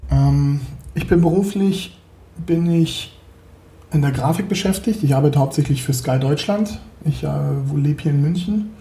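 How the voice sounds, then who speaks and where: A man's voice: on the high side, moderate pace, mellow, reverberant. A man in his forties from Berlin, chatting as an interview guest, hesitant with many fillers and restarts. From Berlin, Germany. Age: 40s. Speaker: podcast guest, 3D graphics artist. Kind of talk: casual interview chat.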